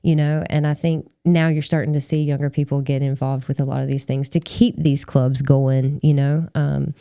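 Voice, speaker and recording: A woman's voice: low-pitched, little pitch movement, moderate pace, warm tone, clear, resonant tone, no echo, studio quality. A woman in her forties talking casually as a podcast host, in a chatty, rambling and informal way. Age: 40s. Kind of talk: casual conversational interview.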